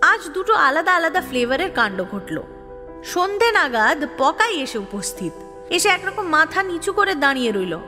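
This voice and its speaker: High, animated, scheming young woman in love, acted by a woman. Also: moderate pace, full character voice. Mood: impatient, scolding. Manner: expressive, exasperated, confiding. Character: scheming, proud, restless young woman in love, narrating her story. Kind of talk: dramatic first-person audio story narration. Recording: long reverb, music behind. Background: music.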